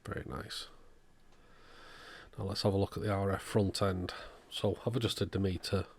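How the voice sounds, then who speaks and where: A man with a low voice and a strong creak talks through a hands-on repair. He goes methodically, one step after another, in the manner of an electronics technician showing his work. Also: flat delivery, moderate pace. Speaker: electronics repairer, channel host. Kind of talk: hands-on repair demonstration narration.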